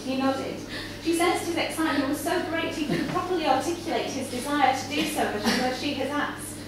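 High-voiced woman reading aloud, echoey and distant. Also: fairly quick, crowd noise. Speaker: reader at a live literary event. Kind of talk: live story reading to an audience. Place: indoor cafe bar with a small audience. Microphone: far camera. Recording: echoey noisy room, far from microphone. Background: audience ambience and background noise.

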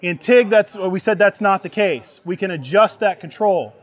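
A middle-aged man with a fairly high voice teaches a practical class to a group. He explains things in a plain, folksy way and checks that people follow. He is recorded outdoors under a tent. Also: moderate pace. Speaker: welding instructor. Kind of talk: live hands-on class instruction to a group. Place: outdoors under an open tent canopy before a seated group.

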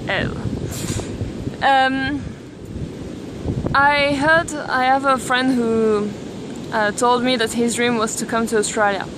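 Slow, hesitant woman vlogging on a windy beach. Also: on the high side, level tone. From Belgium. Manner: thoughtful, hesitant, many ums and restarts. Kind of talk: casual selfie vlog. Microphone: camera at arm's length. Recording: wind noise on the mic.

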